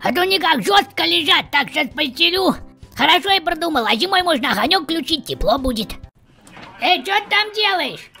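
A performer voicing a cheeky, bossy talking house cat in a squeaky, creaky, colloquial comic dub. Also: very animated, brisk, pushed and bright, soft background music, heavily acted. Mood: chatty. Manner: colloquial, exclamatory. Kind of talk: comic pet-video voice-over dub.

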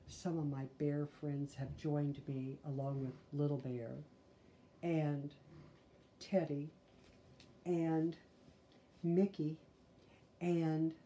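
Woman in her sixties, mellow tone, slow deliberate storybook reading, chatty asides. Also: low-pitched, a little hiss or hum. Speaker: storybook reader. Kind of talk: read-aloud for children with chatty asides. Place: small living room, indoors.

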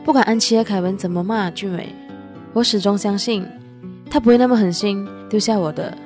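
A woman's voice: mid-range voice, fairly quick, darkish tone, soft background music, lightly acted. A woman acting a heartbroken, stubbornly loyal young woman in a radio drama. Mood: wistful. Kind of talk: radio drama narration and dialogue.

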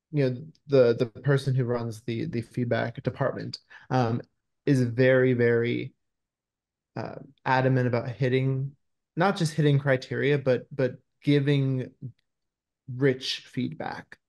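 A man in his thirties gives casual interview answers over a video call from a room at home. He often pauses to search for his words as he explains things, with a medium-pitched voice. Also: vocal fry, a little mellow. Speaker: screenwriter and interview guest. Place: small room at home, indoors.

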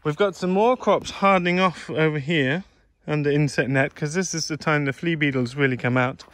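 A man giving a relaxed, chatty tour of his farm, filmed on a handheld phone outdoors and in sheds. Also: on the high side, normal speed, no echo. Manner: relaxed, gentle, chatty with a child. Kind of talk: casual walking farm tour vlog. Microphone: handheld phone camera.

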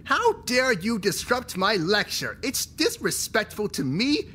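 An indignant, pompous schoolteacher in a visual novel game. He scolds slowly, with big swings in pitch, over faint background noise. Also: big pitch swings, pushed and bright, heavily acted. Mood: outraged. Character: indignant, pompous male high school teacher.

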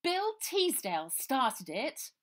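A breezy, theatrical posh girl from a storybook, as an older woman puts her on gushes in a high, upper-crust voice. She calls everyone darling and stays light and dramatic. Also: wildly swooping pitch, slow, full character voice. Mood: indignant. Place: small room indoors.

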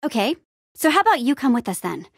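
A woman's voice: sits high, sing-song, fast talker, slightly rough, no echo, studio quality, full character voice. A woman playing an upbeat, plucky young female adventurer in a video game, with an eager, wry and casual way of talking. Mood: curious. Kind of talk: animated adventure game dialogue.

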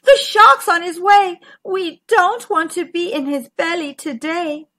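Terrified small storybook fish played by a woman, very high, shrill and fussing. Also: unhurried, fairly bright tone. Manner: shrill, crying out. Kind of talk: children's storybook character voice.

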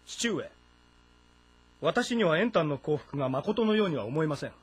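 Warlord's young son: slightly high, husky, urgent, suspicious, for a game drama. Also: male voice, animated, normal speed. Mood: distrustful. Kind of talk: dramatic audio-novel game acting.

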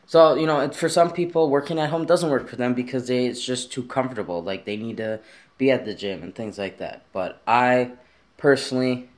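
A man's voice: medium pitch, moderate pace. A young man vlogging casually from his bedroom, talking in a rambling, easygoing way with plenty of fillers, recorded on a handheld camera at arm's length. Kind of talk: casual vlog talk to camera. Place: bedroom at home, indoors.

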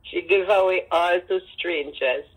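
An elderly woman with a Jamaican accent and husky voice, telling personal stories with emphatic repetition and Creole phrasing. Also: fairly low pitch, measured pace, rough recording. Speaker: interviewee, grandmother. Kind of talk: personal storytelling in an interview.

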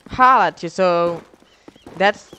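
A man's voice: high voice, takes it slowly, pushed and bright, smooth, lo-fi sound. A young man casually telling a story while commentating on a game, rambling in slang with heavy swearing. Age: young adult. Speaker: gaming video creator telling stories over gameplay.

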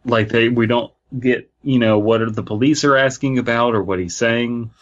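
A man with a mid-pitched voice hosts a comedy podcast, reading a story aloud and adding joking commentary. The sound is slightly dull and lo-fi. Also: fairly even intonation, moderate pace. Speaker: podcast host.

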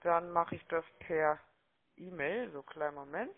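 A man's voice: on the high side, unhurried. A man working as a call-centre agent, chummy and wordy, often ending sentences with little questioning tags. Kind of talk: chatty, unscripted sales phone call. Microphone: telephone line. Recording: muffled, narrow-band phone-call audio.

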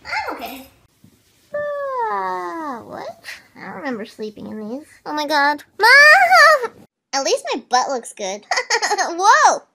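Woman with a very high, childlike voice. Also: huge pitch swings, vocal fry, sharp tone. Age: 20s. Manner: playful, bubbly, quick jokes, swings into squeals. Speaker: comedy video creator. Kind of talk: short comedy sketches. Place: at home, in cars and outdoors. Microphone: phone camera at arm's length.

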